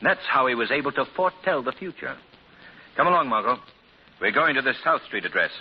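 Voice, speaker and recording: An actor playing a suave, calm wealthy gentleman detective in a 1940s radio drama, on an old muffled broadcast recording. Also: mid-range voice, fairly quick, husky.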